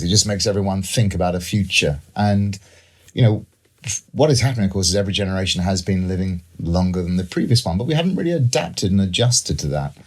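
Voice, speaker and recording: A man, an expert guest explaining ideas conversationally. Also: on the low side. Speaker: guest, economics professor and author.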